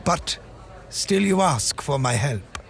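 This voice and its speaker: An actor playing a proud, wary male ally in an audio drama, speaking in a measured, formal way. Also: fairly high pitch, big pitch swings, creaky, heavily acted. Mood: resolute.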